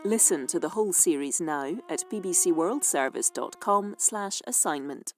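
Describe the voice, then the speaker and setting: A woman's voice: fairly low pitch, animated, moderate pace, faint music behind. A woman station announcer reading a formal promo announcement.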